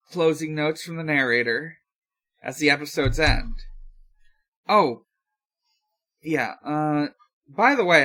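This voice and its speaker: A podcast host recapping episodes casually with friends, speaking haltingly with many filled pauses. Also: mid-range voice, sharp tone.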